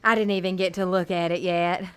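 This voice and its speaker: A woman's voice: lowish voice, steady tone, a little fast, a bit bright, clean tone, natural voice. A woman playing an innocent, friendly young pageant contestant in an audio drama, guileless and sweet. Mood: sweet, trusting.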